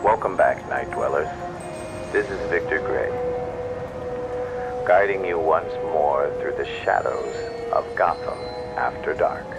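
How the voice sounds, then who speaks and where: A man plays a brooding, philosophical radio host delivering a late-night monologue. His voice is low and unhurried, solemn and measured, under loud music. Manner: slow, solemn, measured with long pauses.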